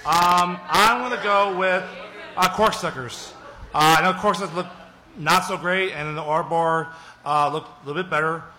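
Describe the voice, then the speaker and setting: A man's voice: high-pitched, steady tone, moderate pace, sharp tone, poor audio. A young adult man co-hosting a casual podcast, rambling and chatty, often trailing off into mumbles. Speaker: podcast co-host.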